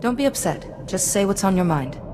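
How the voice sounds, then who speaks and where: A woman as a composed, mid-pitched warrior-sage. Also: lively intonation, moderate pace, darkish tone, lightly acted. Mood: soothing. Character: composed, dutiful female Jedi warrior-sage. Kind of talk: video game cutscene acting. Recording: long reverb, music underneath.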